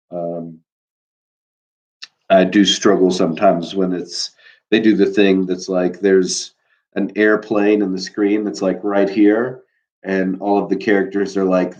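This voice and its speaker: A man's voice: deep voice, darkish tone. A man with a stuffy nose, a teacher chatting casually from home on a live class stream, rambling with plenty of fillers. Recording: dull lo-fi recording.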